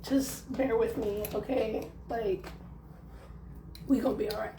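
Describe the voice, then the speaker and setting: A young woman with a hoarse, worn-out voice, chatting casually through a tarot reading and leaning on lots of fillers. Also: on the high side, fairly even intonation, unhurried. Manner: chatty, loose, filler-heavy. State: hoarse, losing her voice. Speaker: tarot reader. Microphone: phone. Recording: echoey room, faint background noise.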